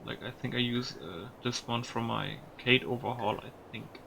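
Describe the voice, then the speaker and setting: A man's voice: mid-range voice, steady tone, normal speed, creaky, very noisy. A man giving casual video game commentary, musing aloud with some mild swearing. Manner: musing aloud, mildly profane.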